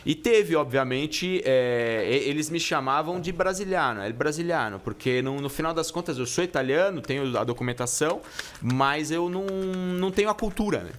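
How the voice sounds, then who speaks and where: A middle-aged man born in Italy, a guest pizza chef in a casual podcast chat, telling relaxed stories with slang and many restarts. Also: a little high, normal speed.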